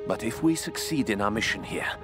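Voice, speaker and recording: An actor playing a resolute wartime expedition officer, reading a field report in a formal, measured, confident way for a video game. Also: male voice, lowish voice, expressive, over loud music, natural voice.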